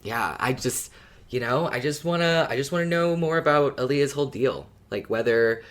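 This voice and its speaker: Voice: mid-range voice, moderate pace. A podcast guest with a mid-range voice talks in a casual fan-discussion style. The delivery is a little hesitant, with phrases repeated while thinking.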